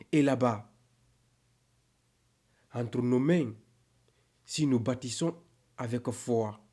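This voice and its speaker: A man reciting spiritual poetry in a solemn, declamatory way, with deliberate pauses between phrases. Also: mid-range voice, darkish tone. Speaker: poem narrator.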